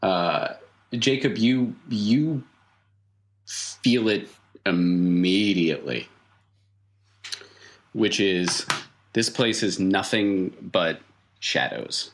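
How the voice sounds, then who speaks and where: A man improvising narration as game master of a tabletop roleplaying game, halting as he thinks aloud and repeating words for emphasis. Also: deep voice, animated.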